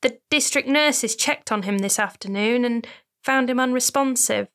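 A woman's voice: on the high side, normal speed, fairly bright tone, pitched above the performer's own voice. A woman reading a novel aloud, voicing a formal female nurse calling on the phone. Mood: grave.

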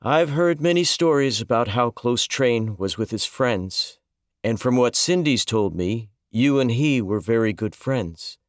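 A man voicing a calm, gentle middle-aged reverend who speaks softly and consolingly. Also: mid-range voice, dry recording, clean studio sound, natural voice. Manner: soft-spoken, measured, consoling.

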